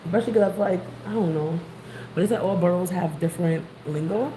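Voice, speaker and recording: Chatty New York woman, lowish voice. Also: quick pace. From New York City, Queens. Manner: rambling, chatty, greets viewers. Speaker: vlogger. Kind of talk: casual livestream chat. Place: outdoors on the street. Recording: noisy background.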